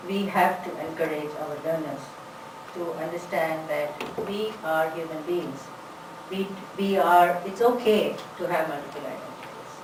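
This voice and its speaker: Woman speaker, lowish voice, chatty, echoey conference hall, distant mic, noisy. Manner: warm, chatty, fillers like "you know". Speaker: conference presenter, English teacher. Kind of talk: conference talk and Q&A. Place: large conference room.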